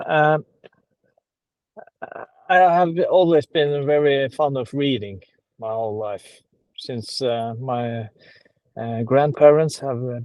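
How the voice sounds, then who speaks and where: A man's voice: on the high side, mellow, no room sound, rough recording. A man from Norway being interviewed as a writer, talking hesitantly with many fillers and restarts over a video call. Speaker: guest writer.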